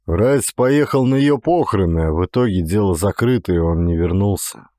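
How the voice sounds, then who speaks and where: A man's voice: low voice, expressive, normal speed, mellow, no room sound, clean studio sound. A man playing a blunt but loyal police detective in an audiobook thriller, speaking in a terse, matter-of-fact way. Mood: frustrated, grave.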